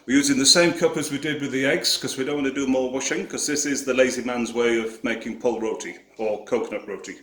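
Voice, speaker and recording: A man with a Yorkshire accent talks through a recipe at an easy pace in his home kitchen, self-deprecating and unhurried. His voice rings in a roomy, echoing space. Pots, cutlery and dishes clink clearly behind him. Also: medium pitch, steady tone, slight background noise. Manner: easygoing, self-deprecating, talks while working. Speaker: cooking video host. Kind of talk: relaxed cooking demonstration. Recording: echoey kitchen with clattering dishes.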